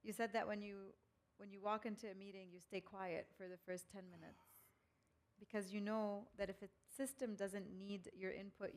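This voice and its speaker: A woman hosts a fireside chat in a medium-pitched voice. She speaks conversationally and reflectively, paraphrasing as she builds toward her questions. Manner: reflective, paraphrases before asking. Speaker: interviewer, fireside chat host. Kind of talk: conversational interviewing.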